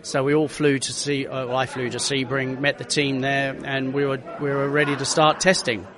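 A man's voice: mid-range voice, flat delivery, normal speed, a crowd in the background. A middle-aged man, a racing driver, chatting through his stories with many restarts. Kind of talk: casual interview storytelling.

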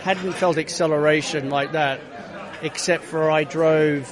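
A man, a racing driver being interviewed, who tells his story in a lively way and acts out quotes with many restarts. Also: on the high side, measured pace, crowd noise.

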